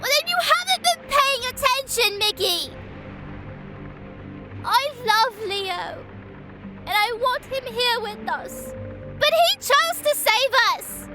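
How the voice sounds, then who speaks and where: Woman playing a very high-voiced little orphan girl. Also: animated, takes it slowly, long pauses, over music, full character voice. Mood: defiant. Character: young orphan girl, wistful, stubborn, fiery little sister. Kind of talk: audio drama acting.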